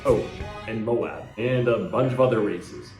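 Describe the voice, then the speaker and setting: A man's voice: lowish voice, a little slow. A man hosting a podcast, chatting enthusiastically and often restarting his sentences. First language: English. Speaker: podcast host. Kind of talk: casual interview chat. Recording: roomy echo with faint music.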